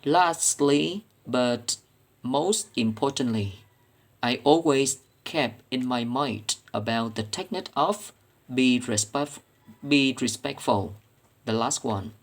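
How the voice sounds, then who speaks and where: Male student, Vietnamese accent, native Vietnamese speaker, very unhurried pace, medium pitch, careful halting delivery, prepared reflection. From Vietnam, Ho Chi Minh City. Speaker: student presenting coursework.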